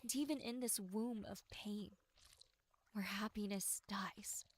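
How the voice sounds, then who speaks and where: A woman playing an earnest, suffering girl in a horror visual novel, wistful and hopeful in a halting, dramatic way. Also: medium pitch.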